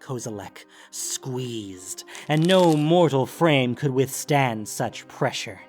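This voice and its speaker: A man's voice: mid-range voice, animated, takes it slowly, a little mellow. A man giving a solemn, theatrical narration of a dramatic fantasy story. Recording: music under the voice. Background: music.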